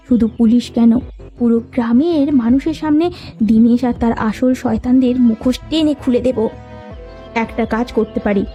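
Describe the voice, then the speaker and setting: A woman's voice: high voice, warm tone, natural voice. A woman playing a bold, earnest young village girl in a cartoon story. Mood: resolute. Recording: music behind the voice. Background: background music.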